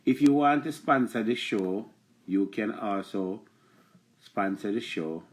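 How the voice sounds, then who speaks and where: A man's voice: lowish voice, slow. A man in his forties with a Jamaican accent, hosting a live talk stream from a small room with a stuffy nose, chatty and repetitive. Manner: chatty, repetitive, animated when addressing viewers. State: stuffy from allergies. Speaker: live show host. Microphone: phone camera close up.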